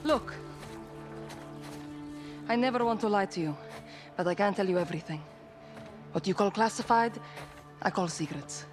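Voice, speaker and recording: A woman playing a proud rebel commander who speaks firmly and keeps her cards close. Her delivery is measured, set over the game's music. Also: mid-range voice, lightly acted. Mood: earnest. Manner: firm, measured, guarded. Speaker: militia commander. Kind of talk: video game cutscene acting. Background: music score.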